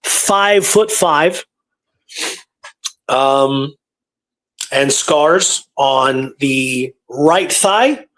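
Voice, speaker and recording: Emphatic man with a higher-pitched voice. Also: extremely slow, long pauses, a bit bright, no room sound, poor audio. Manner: emphatic, repeats points for stress. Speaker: co-host of a history talk show. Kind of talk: casual conversational history discussion.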